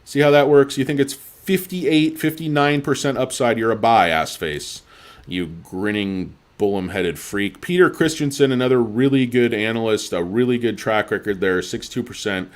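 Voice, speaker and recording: A man's voice: mid-range voice, moderate pace. A man hosting a stock livestream, ranting in a crude, insulting and sarcastic way, and sounding tired. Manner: sarcastic and mocking. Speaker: livestream host.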